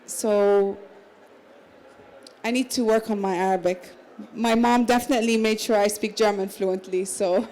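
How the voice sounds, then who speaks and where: A woman's voice: mid-range voice, steady tone, measured pace, warm tone, low-quality recording. A woman in her thirties, raised in the Emirates, talking casually as a panel guest at a literary salon. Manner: conversational, with restarts and small laughs. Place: literary salon at a book fair.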